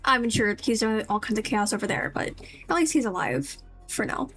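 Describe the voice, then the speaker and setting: A woman's voice: mid-range voice, big pitch swings, normal speed, fairly bright tone. A woman giving casual, musing live commentary as a game streamer, tentative in how she talks. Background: game music.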